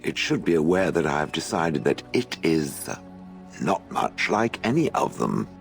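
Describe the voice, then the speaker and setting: Ancient golem, deep, swooping intonation, haughty, sardonic, deliberate phrasing, video game drama. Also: male voice, big pitch swings, creaky, soft background music, heavily acted. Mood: dry condescension. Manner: stilted, condescending, deliberate phrasing.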